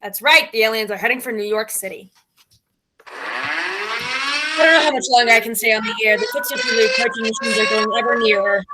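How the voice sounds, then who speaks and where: A woman acts out an earnest, self-important girl genius announcing a radio play. Her voice is bright and on the high side. She delivers every line with solemn melodrama. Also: sing-song, fast, pauses often, full character voice, poor audio. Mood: dread. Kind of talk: melodramatic old-time radio play narration.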